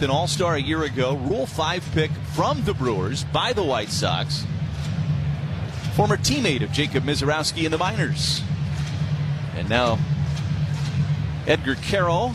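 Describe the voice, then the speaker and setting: A man calling live baseball play-by-play as a radio announcer. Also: on the high side. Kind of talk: live radio sports commentary. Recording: steady ballpark noise behind voice. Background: outdoor stadium ambience.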